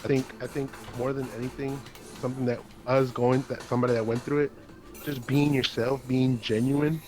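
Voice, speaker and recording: A man hosting a heartfelt conversation, speaking earnestly and haltingly, with many restarts and repeated words. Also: mid-range voice, little pitch movement, a little mellow.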